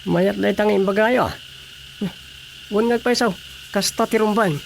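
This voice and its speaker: Man playing a higher-voiced, muttering village councilman. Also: fairly even intonation, normal speed, vocal fry, very noisy. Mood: conversational. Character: male village councilman, casual, muttering, easygoing local official. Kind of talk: radio drama comedy acting.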